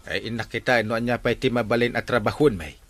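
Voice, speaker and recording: A man's voice: medium pitch, fairly even intonation, fast, music in the background, natural voice. A man playing an easygoing village handyman in a comedy radio drama, given to muttered asides. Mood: earnest.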